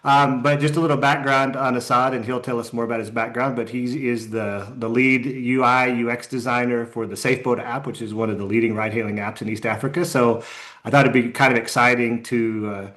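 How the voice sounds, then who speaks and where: A man's voice: mid-range voice, fairly even intonation, brisk, fairly bright tone. An American man hosting a podcast in a casual interview style, informal and often circling back over his phrases. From United States. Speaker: podcast host.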